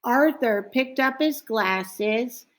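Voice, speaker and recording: A woman's voice: on the high side, drawn-out words. A woman reading a children's storybook aloud as narrator. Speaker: storybook reader.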